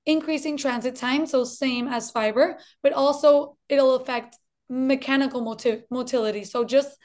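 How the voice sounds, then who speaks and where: A woman's voice: high voice, fairly even intonation, normal speed, darkish tone. A woman in her thirties from Colombia with a slight Colombian Spanish accent, explaining things as a dietitian guest in a friendly way. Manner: friendly, explanatory, repeats for emphasis. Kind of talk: explanatory interview talk.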